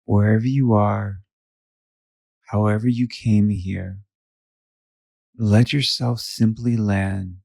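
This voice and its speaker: Slow, low-voiced man guiding meditation. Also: steady tone, gravelly, no room sound, studio quality. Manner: soft, soothing, with long pauses between short phrases. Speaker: meditation guide. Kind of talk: guided meditation.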